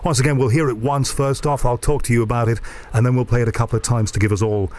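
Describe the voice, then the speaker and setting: A man's voice: medium pitch, steady tone, fast, darkish tone, slight background noise. A man hosting a radio show, asking questions with curiosity and thinking aloud as he listens. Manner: inquisitive, enthusiastic, thinks aloud. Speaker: radio host. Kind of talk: curious interview chat.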